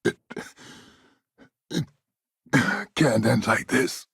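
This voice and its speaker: A man playing a gruff, sardonic space pirate con man in a video game, with a gravelly, husky voice and a wry, scheming manner. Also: medium pitch, very slow, a bit bright, no room sound, studio quality, heavily acted. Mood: grim. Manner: wry, sarcastic, world-weary.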